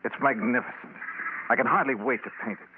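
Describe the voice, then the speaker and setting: An actor playing an eager, admiring gentleman painter in Golden Age radio drama. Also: mid-range voice, fast, music in the background, natural voice. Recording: noisy, tinny vintage radio broadcast.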